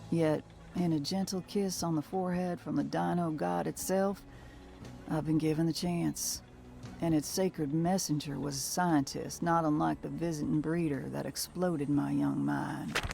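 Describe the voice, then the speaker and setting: A weary woman bounty hunter in a video game, musing with dry, salty wit. Her voice is gravelly with plenty of fry, and soft music sits behind it. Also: low voice, steady tone, measured pace. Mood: wry, reflective. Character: weary, salty female bounty hunter, dinosaur-loving misanthrope. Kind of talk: video game journal narration and dialogue.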